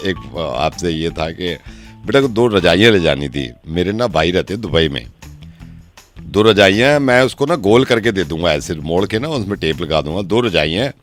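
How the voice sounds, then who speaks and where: Man putting on a rambling uncle, lively swooping pitch. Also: soft background music. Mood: chatty, insistent. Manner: rambling, insistent, hesitant pauses. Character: chatty middle-aged uncle pushing luggage on a traveller. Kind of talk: prank phone call.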